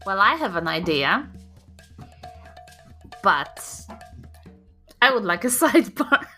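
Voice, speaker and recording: A woman's voice: mid-range voice, big pitch swings, slow, sharp tone, soft background music. A woman chatting casually and jokingly as a player on a tabletop game podcast. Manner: giggly, jokey. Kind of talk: casual chat.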